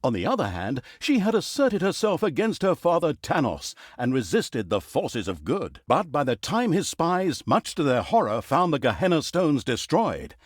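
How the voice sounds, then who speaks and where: An actor playing a wry, theatrical and easily exasperated narrator of a fantasy video game, telling the story with mock grandeur. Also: male voice, fairly high pitch, sing-song, normal speed, hardly any pauses, dry recording, clean studio sound. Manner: sardonic, mock-grandiose, breaking the fourth wall. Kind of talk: scripted comic fantasy storytelling.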